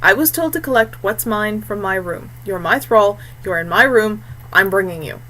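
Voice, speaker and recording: A woman's voice: mid-range voice, expressive, moderate pace, a bit bright, rough recording. A woman voicing a resolute, protective young man, heir to a fort, firm and blunt, in an audiobook.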